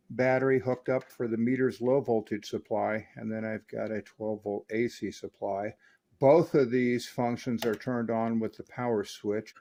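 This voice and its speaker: A man presents an equipment review and demonstration at a medium pitch. He explains technical details step by step, in a measured, explanatory manner, like a host walking viewers through a product. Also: darkish tone.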